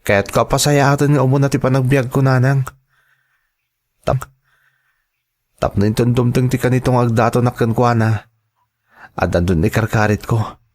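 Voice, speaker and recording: A man's voice: mid-range voice, steady tone, normal speed, pauses often, a little mellow, close to an everyday voice. A man acting in a radio drama as a shy, earnest young man in love, with an Ilocano accent and a hesitant delivery.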